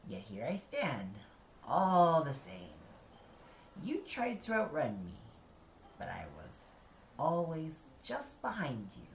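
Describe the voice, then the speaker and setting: Man voicing Grief, a slow, haunting old hag. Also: fairly high pitch, huge pitch swings, pitched above the performer's own voice, heavily acted. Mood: taunting, blunt. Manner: blunt, drawn-out, insistent delivery. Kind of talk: audio play character acting. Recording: echoey, with noise behind.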